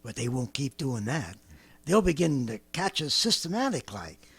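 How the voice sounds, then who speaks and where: A man's voice: mid-range voice, big pitch swings, moderate pace, vocal fry, a bit bright, husky, full character voice. An actor playing a rambling, boastful former militiaman who laughs to himself as he lays out his survival plan, in 1930s radio drama style. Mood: scheming.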